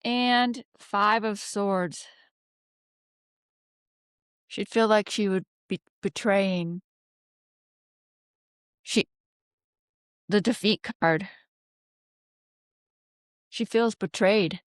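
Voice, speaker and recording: Slow, halting woman reading tarot. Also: medium pitch, fairly even intonation, clean tone, no echo, clean studio sound. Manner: halting, pondering aloud with rhetorical questions. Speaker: tarot card reader, host. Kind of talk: unscripted tarot reading commentary.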